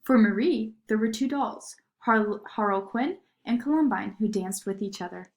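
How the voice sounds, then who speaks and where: A woman narrating a children's story in an expressive read-aloud style. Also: medium pitch, normal speed.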